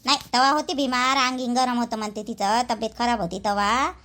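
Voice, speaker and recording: A woman's voice: on the high side, steady tone, fairly quick, sharp tone. A woman voicing a suspicious, gossipy village mother in a cartoon, complaining in a Vidarbha Marathi accent. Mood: complaining, doubtful. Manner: gossipy, grumbling, repetitive.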